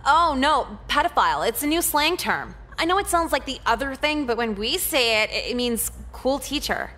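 An actress with an American accent playing a cynical, brash high school girl in a visual novel, blunt and slangy. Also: expressive, moderate pace, sharp tone, full character voice. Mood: argumentative.

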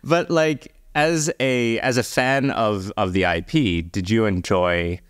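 Deep-voiced man casually asking questions. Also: takes it slowly. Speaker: panelist on a video game talk show. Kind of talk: casual chat, posing questions to co-hosts.

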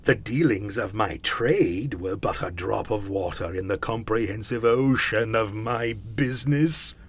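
A man voicing a tormented, mournful ghost in a storybook reading, with slow, drawn-out declamation. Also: lowish voice, slight background noise. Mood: anguished, wailing. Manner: slow, drawn-out, declamatory delivery with wailing cries. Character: tormented male ghost, mournful and solemn warning spirit.

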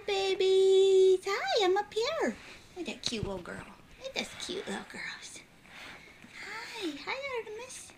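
A woman coos slowly at a bed of puppies in high, sing-song baby talk that often drops to a whisper. She is filmed indoors on a handheld phone held close. Plenty of noise runs under her voice. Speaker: dog breeder.

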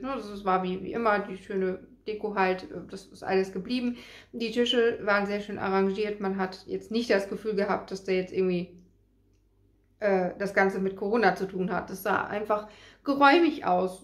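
A woman in her forties talking casually to the camera as a vlogger, chatty and full of fillers, in a small room at home. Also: mid-range voice. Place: small room at home with framed photos on the wall. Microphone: camera in front of her at desk distance. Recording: echoey room.

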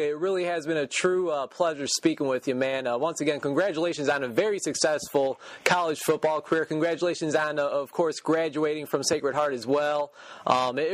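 A man hosting a podcast interview, casual, upbeat and friendly. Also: on the high side. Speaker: podcast host.

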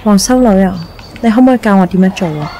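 A woman's voice: medium pitch, fast, dark tone, slight background noise, close to an everyday voice, low-quality recording. A woman acting a caring, troubled young woman in a radio drama, earnest and questioning, with a Hong Kong Cantonese accent. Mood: worried. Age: young adult. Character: worried, caring young woman devoted to her friend.

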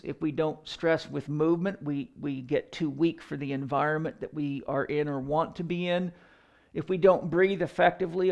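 A man in his fifties with a fairly high, creaky voice gives an explanatory lecture. He talks like a coach at a chalkboard, deliberate and emphatic, pausing between phrases. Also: a little mellow, lo-fi sound. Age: 50s. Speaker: fitness coach and educator giving a lecture. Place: indoor room in front of a chalkboard.

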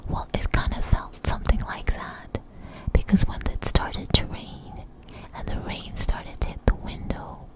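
Whispering woman telling an ASMR story. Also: low-quality recording. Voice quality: breathy unvoiced whisper. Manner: unhurried, halting, repeats phrases. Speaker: ASMR host. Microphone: close microphone.

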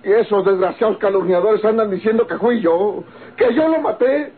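An actor playing a rich, aggrieved Mexican rancher in an old radio drama, husky and rustic in his grumbling delivery. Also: high-pitched, normal speed, phone-quality sound. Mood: bitter, aggrieved. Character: rich, aggrieved rancher under suspicion, rough and bitter. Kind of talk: golden-age Mexican radio drama acting.

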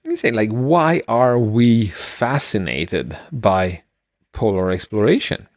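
A middle-aged man co-hosting a podcast, explaining things casually in a halting, rambling way. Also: fairly low pitch, animated, no echo, clean studio sound. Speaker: podcast co-host. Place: small room at home, on a video call. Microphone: close microphone, headphones.

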